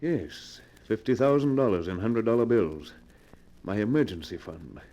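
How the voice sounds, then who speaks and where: Man playing courtly, lovestruck rich recluse, deep mellow voice. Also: animated, moderate pace, close to an everyday voice. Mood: proud. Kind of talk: golden age radio drama acting. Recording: noisy old radio recording with clicks.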